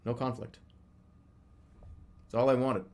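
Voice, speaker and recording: A man's voice: medium pitch, little pitch movement, a little slow, darkish tone. A man in his thirties chatting casually as a live streamer, rambling and often restarting or repeating his phrases. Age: 30s. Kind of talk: casual livestream chat commentary.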